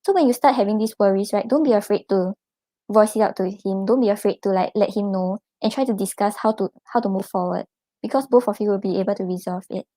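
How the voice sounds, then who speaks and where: A young Singaporean woman giving a live-stream tarot reading, offering gentle reassuring advice with casual fillers. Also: medium pitch, fast talker, no room sound. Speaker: tarot reader.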